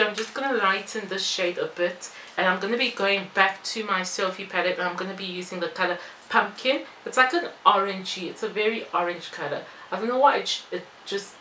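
A woman's voice: medium pitch, pushed and bright. A woman, chatting casually and wandering into asides as she does a makeup tutorial and Q&A. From born in Belgium, moved to South Africa. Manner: informal, rambling asides while busy applying makeup. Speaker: beauty vlogger. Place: small bare-walled room indoors. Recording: echoey room with some background noise.

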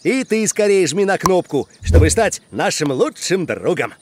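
High-pitched chirpy cartoon promo character. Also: male voice, sing-song, moderate pace. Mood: upbeat. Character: chirpy cartoon character urging viewers to subscribe.

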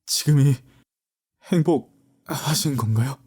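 A man's voice: medium pitch, slow, a little mellow, husky. A young man playing a troubled university student, talking hesitantly with frequent stammers. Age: young adult. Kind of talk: audio drama acting.

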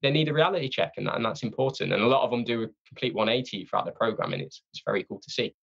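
A man being interviewed on a podcast about his sales training company, talking in an energetic, conversational way and restarting his sentences. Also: medium pitch, very fast, gravelly, breathy edge, muffled recording. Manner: energetic, conversational, repeats words when restarting.

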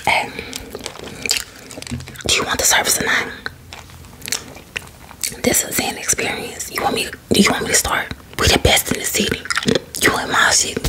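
A woman playing a sassy, defensive salon beautician in a breathy, whispered ASMR roleplay, using colloquial, repetitive patter. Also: lightly acted, rough recording. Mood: haughty, proud. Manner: slow, sassy, colloquial patter with repeated phrases.

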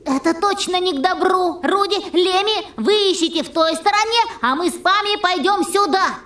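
A woman's voice: extremely high pitch, moderate pace, fairly bright tone, echoey room. A woman voicing a small, brave bushbaby boy in a children's cartoon, a decisive and encouraging leader.

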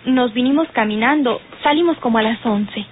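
Actress as high-voiced firm young woman, tinny. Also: normal speed, close to an everyday voice. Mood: composed. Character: firm, composed young daughter determined to marry. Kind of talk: old radio soap acting. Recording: tinny old radio recording, faint noise.

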